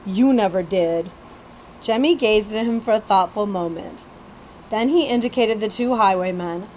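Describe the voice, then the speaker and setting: A woman's voice: medium pitch, moderate pace, slight background noise, rough recording. A woman reading a children's story aloud expressively, as a librarian would, stumbling now and then. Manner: animated storytelling with occasional stumbles and asides.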